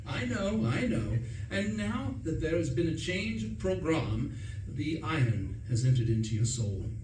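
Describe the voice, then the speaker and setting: Recorded from a distance in a roomy space, a foppish young English gentleman acted by a man chatters on in a fairly high voice. He is breezy, pompous and wordy, telling his own grievances as a comic radio play. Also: sing-song, measured pace. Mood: earnest, insistent. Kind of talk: first-person comic radio play narration. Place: live stage performance in a room. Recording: roomy, distant mic, faint noise.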